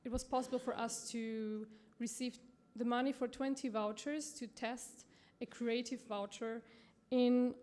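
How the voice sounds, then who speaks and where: A woman from Austria with an Austrian accent, giving a conference presentation in a halting way with many fillers and self-corrections. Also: on the high side, mellow. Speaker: conference speaker from a promotional bank.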